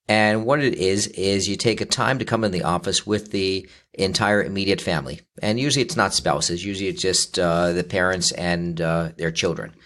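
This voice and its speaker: A man in his fifties with a lowish voice explains money matters in a steady conversational way. He talks through his points step by step, with plenty of small fillers along the way. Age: 50s. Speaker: financial advisor. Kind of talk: conversational interview.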